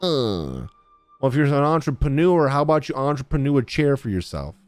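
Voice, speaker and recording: Animated, slangy gaming streamer. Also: medium pitch, a little fast, dry recording, soft background music. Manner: slangy, playful, jokey. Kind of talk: casual gaming reaction commentary.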